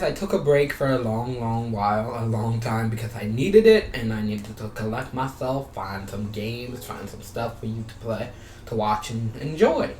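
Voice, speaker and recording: A man chatting casually as a gaming YouTuber, rambling and repeating his phrases, sometimes reading game text aloud. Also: mid-range voice. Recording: roomy, distant mic, steady background noise.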